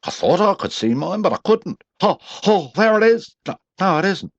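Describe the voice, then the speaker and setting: A nervous little pig squeaks and flutters in a high voice, as a man puts him on. The sound is close and dry. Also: big pitch swings, normal speed, no echo, lo-fi sound, exaggerated voice.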